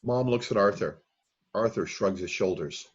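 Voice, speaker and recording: A man with a low, husky voice reads the stage directions of a screenplay at a live table read. He calls out the scene headings and action in a plain, steady way. The recording sounds dull and muffled. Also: moderate pace. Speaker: narrator of a screenplay reading. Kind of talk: reading stage directions aloud.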